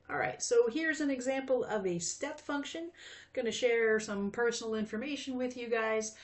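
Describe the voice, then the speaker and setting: A woman in her fifties teaching math in a recorded video lesson, chatty and friendly, with frequent fillers. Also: a little high. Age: 50s.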